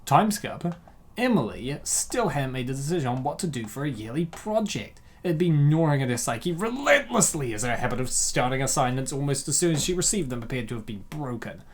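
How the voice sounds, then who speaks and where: A man's voice: mid-range voice, big pitch swings, brisk. A man narrating a comic dub and breaking into slangy, jokey casual commentary. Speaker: host and narrator.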